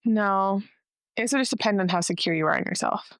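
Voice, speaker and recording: A young woman hosting a casual podcast chat, talking fast and loose with lots of "like" and a slightly nasal voice. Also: medium pitch, no room sound, studio quality. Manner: chatty, heavy use of "like", quick asides. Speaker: podcast host.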